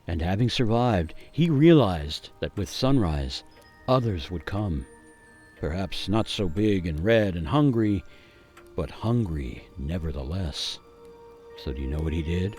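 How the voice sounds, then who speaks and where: An actor playing a haunted gingerbread cookie who tells a grim cautionary tale in a suspenseful, theatrical radio monologue with deliberate pauses. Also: male voice, on the low side, a little mellow. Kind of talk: dramatic monologue for radio storytelling.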